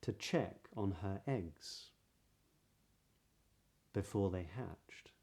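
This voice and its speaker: A man with a southern British English accent giving a pronunciation lesson as a voice coach, explaining clearly and modelling sounds. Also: sits low, drawn-out words, mellow. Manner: clear, demonstrative, breathy example sounds.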